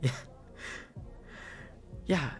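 A young man playing a caring, teasing, shy best friend in an intimate ASMR roleplay, speaking softly and hesitantly. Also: extremely slow. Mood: playful teasing. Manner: soft, hesitant, stammering, breathy sighs and chuckles.

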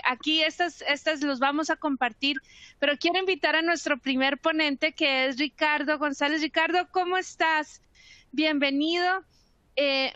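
A woman's voice: sits high, bright, forceful tone, dry recording. A woman hosting a webinar from home over a video call, speaking conversationally and asking rhetorical questions. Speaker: webinar host. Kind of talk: webinar presentation. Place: home room indoors. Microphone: webcam on video call. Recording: slightly lo-fi video call audio.

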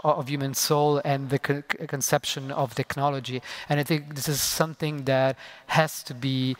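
A man from Italy in his thirties speaks through a headset mic on a large indoor conference stage. His pitch stays flat and even while he halts, stutters and fills gaps as he builds each thought. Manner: hesitant, halting with many stutters and fillers. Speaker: panelist. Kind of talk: conference panel discussion.

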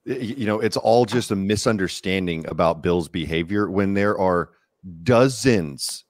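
Man, host, very deep voice, supportive, dry sound. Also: normal speed, dry recording. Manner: supportive, frequent brief agreement. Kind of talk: livestream panel discussion.

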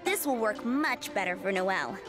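A woman's voice: mid-range voice, animated, normal speed, natural voice. A woman voicing a friendly young knight trainee, speaking in a casual, musing way in a video game. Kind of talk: video game character dialogue. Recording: game music behind the voice.

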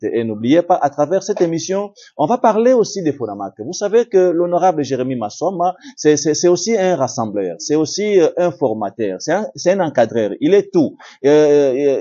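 A man with a Congolese French accent hosts a television talk show, his voice dark and mellow. He hesitates often, filling gaps with "euh" and repeating himself. The recording sounds somewhat dull and lo-fi. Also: a little high. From Democratic Republic of the Congo. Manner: hesitant, many "euh" fillers, repetitive phrasing. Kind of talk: TV studio interview.

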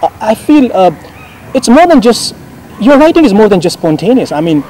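A man's voice: high voice, very animated, moderate pace, warm tone, low-quality recording. A young man, a radio presenter, interviewing a guest in a garden with admiring, eager questions and frequent "mm-hmm" replies. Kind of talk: interview hosting. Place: outdoor garden. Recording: outdoor recording with faint background noise.

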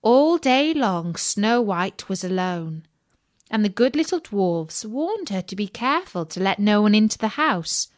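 A woman narrating a scripted children's audiobook as an expressive, measured storyteller. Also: medium pitch, no room sound, studio quality.

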